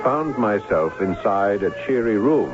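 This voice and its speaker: An actor playing a soldier telling of his journey home in a radio drama, with measured, formal, theatrical delivery. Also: on the low side, animated. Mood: composed. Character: young soldier bridegroom recounting his journey home from war. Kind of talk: radio drama first-person narration. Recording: muffled old radio with music underneath.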